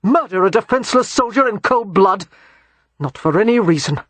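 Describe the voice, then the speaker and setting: A man's voice: high-pitched, expressive, a little slow, slightly rough, no room sound, exaggerated voice. A man voicing a steely, protective male war hero who speaks in a firm, clipped way. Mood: fierce.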